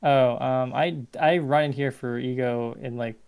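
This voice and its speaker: Gravelly man taking coaching. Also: mid-range voice, fairly even intonation, measured pace, darkish tone. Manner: agreeable, self-deprecating, laughs often. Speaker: player being coached. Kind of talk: casual chat.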